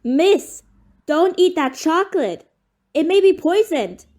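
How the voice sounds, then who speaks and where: A woman's voice: extremely high pitch, expressive, takes it slowly, darkish tone, exaggerated voice. A woman playing a crusty, irritable, defiant mother, blunt and dismissive, in an audio play. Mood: wary. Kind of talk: amateur audio play acting.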